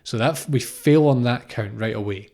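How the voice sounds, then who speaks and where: A man's voice: mid-range voice, moderate pace, darkish tone. A man in his thirties from Scotland gives an unscripted, informal spiritual talk, explaining reflectively and often repeating phrases or posing questions. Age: 30s. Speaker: spiritual teacher, podcast host.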